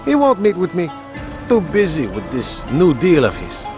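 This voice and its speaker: An actor playing an earnest, aggrieved medieval merchant in a video game, complaining with emphasis. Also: male voice, a little high, huge pitch swings, moderate pace, music in the background. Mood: frustrated. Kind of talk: dramatic video game dialogue.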